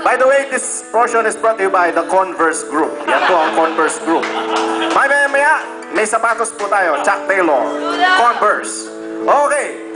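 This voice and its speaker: A man's voice: high voice, moderate pace, rough recording. A Filipino man, a native Tagalog speaker, reading aloud to an audience as a playful master of ceremonies with teasing asides. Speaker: master of ceremonies at a class reunion. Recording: loud music and crowd noise behind. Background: background music and a lively crowd.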